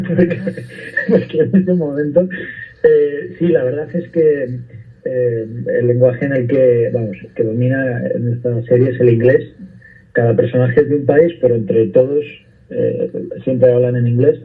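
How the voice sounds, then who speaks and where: A man's voice: mid-range voice, fairly even intonation, unhurried. A man, an actor being interviewed on the radio, explaining his work thoughtfully at length with small restarts. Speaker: actor guest. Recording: phone-like line.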